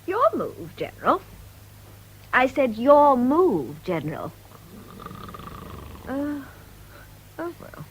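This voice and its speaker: An actress in a radio drama playing a fussy, patronising nurse who coaxes in a sing-song way, as if to a child. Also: female voice, high voice, wildly swooping pitch, takes it slowly, pauses often, a little hiss or hum, full character voice, poor audio. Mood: coaxing. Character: fussy, coaxing, patronising female private nurse.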